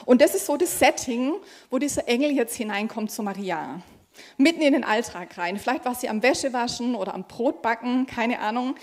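Junge Predigerin, etwas hohe Stimme. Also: female voice, a little high, animated, a little fast, a little mellow. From Germany. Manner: conversational, with fillers and laughs. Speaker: pastoral trainee preacher. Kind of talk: sermon with scripture reading.

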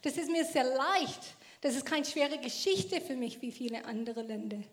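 High-voiced woman, earnest testimony. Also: moderate pace. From Australia. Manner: earnest and emphatic, in non-native German with loose grammar. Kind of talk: passionate personal testimony talk.

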